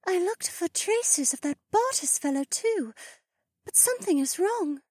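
Man doing a soft high-voiced horned seer woman. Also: animated, a little slow, no room sound, clean studio sound. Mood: hushed.

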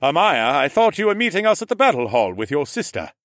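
A man's voice: on the high side, sing-song, fast, a bit bright, no echo, clean studio sound, voice pushed up. A man voicing a confident, wry lord and teasing big brother in a fantasy audiobook. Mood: questioning.

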